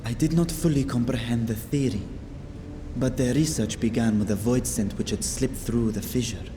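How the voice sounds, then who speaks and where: A man playing a dignified, measured city ruler who speaks formally and deliberately in a video game cutscene. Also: medium pitch, background noise, lightly acted.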